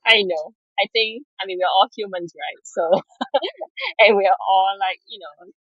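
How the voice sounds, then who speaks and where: Casting: woman from Singapore, husky tone, dry slightly muffled sound, chatty guest who laughs easily. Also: medium pitch, a little slow, dry recording. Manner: chatty, laughs often, many fillers. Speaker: podcast guest, product manager. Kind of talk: casual interview chat.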